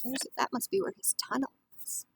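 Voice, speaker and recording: A woman with a fairly high voice reads a children's picture book aloud in the manner of an early learning teacher. She steps out of the story to explain things simply and to put questions to her young listeners. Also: lively intonation, brisk, breathy edge, no room sound.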